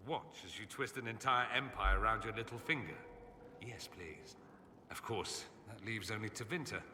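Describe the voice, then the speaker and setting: A man's voice: lowish voice, lively intonation, normal speed, noisy background, natural voice. An actor playing a witty, theatrical mage nobleman in a video game, with an arch, refined, droll manner. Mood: droll, playful. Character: witty, urbane, theatrical mage nobleman, wistful about homeland. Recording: long reverberant hall echo.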